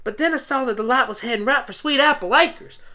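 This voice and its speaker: A woman's voice: high-pitched, fairly quick, bright, forceful tone, voice pushed up. A woman voicing a plucky, friendly young farm pony girl with a folksy, earnest and feisty manner, in an amateur radio play. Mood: excited, earnest.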